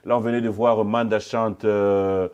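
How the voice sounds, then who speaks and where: A man conducting a probing interview as a journalist, insistent and challenging, often cutting in and repeating his questions with a husky voice. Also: lowish voice, little pitch movement, unhurried, a little mellow, muffled recording.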